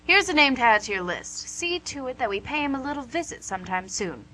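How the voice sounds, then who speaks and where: A woman's voice: high-pitched, sing-song, fairly quick, pushed and bright, exaggerated voice. An actress playing the Devil as a playful, charming, smug female boss in a comic radio play, chatty and theatrical. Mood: bossy, amused. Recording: noisy amateur recording with hum.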